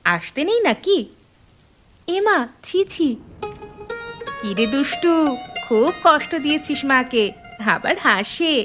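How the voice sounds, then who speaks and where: Woman acting young cartoon village woman, chatty, caring, high voice, clear music underneath. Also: sing-song, takes it slowly, long pauses, exaggerated voice. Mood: friendly concern. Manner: familiar, chatty. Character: young village mothers and women friends, familiar, caring. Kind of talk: cartoon dialogue.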